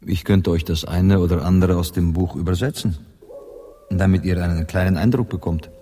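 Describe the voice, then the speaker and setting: An actor playing a measured, wry Spanish poet and interpreter in a radio drama, calm and deliberate. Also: male voice, sits low, a little hiss or hum, natural voice. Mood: wry, gentle. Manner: deliberate, calm, gently ironic. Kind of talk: radio play acting.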